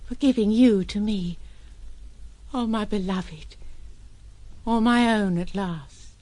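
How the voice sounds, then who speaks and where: A woman's voice: medium pitch, slow pace, warm tone, no room sound, lightly acted. An actress playing a dying young woman in an audio drama, devoted in love, with a tender, faltering delivery. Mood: adoring.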